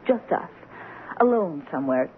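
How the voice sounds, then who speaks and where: An actress playing a sensitive, cultured young American wife in a radio drama. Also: female voice, sits low, very animated, normal speed. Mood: fragile, pleading. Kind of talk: old radio drama acting. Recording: muffled, hissy old radio broadcast.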